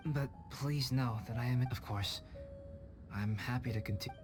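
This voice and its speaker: Young scholar-alchemist for a game, male actor: composed, polite, formal phrasing, medium pitch, music behind. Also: a little slow, close to an everyday voice. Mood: thoughtful, sincere. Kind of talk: video game cutscene voice acting.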